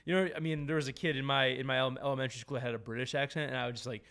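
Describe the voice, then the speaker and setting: Male podcast host from New Jersey, gravelly low-key voice, chatty and stammering. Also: fairly even intonation, rapid-fire, fairly bright tone. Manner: chatty, lots of restarts and stammers. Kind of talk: casual chat.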